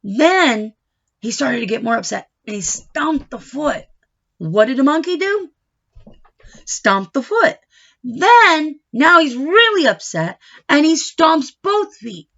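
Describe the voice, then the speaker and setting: A woman reading a picture book aloud to children, expressive and coaxing, pausing to ask them questions, on a dull home recording. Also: high-pitched. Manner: expressive, coaxing, pauses to question and prompt young listeners. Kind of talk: animated children's read-aloud with questions to the listeners.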